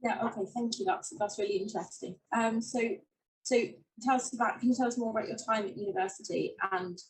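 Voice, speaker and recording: A woman's voice: fairly high pitch, quick pace, poor audio. A woman interviewing a guest, asking questions politely and a little hesitantly.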